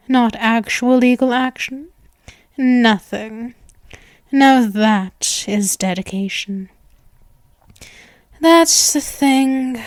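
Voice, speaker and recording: Woman acting a slow-talking, lovestruck vampire girl. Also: a little high, no room sound. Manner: gushing, theatrical, breathy asides, lovestruck. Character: obsessive, doting young female vampire, gleefully morbid. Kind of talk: ASMR roleplay, intimate monologue to listener.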